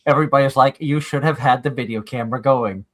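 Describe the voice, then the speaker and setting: A man's voice: medium pitch, fast. A man from Massachusetts, hosting a casual radio chat and rambling through anecdotes with repeated words. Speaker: radio show host. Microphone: streamed call mic.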